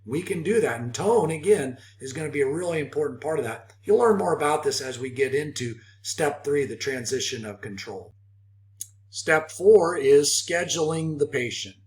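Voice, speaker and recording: A man's voice: a little high, expressive, normal speed. A man giving an instructional training talk as a coach, explaining step by step, stressing key words and often checking in with the listener. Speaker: phone skills trainer.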